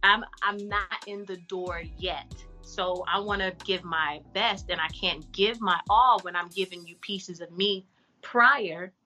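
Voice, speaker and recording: A woman, an actress and artist, giving frank, animated advice in a casual podcast interview. She says she has a deep voice for a woman. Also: unhurried, soft background music.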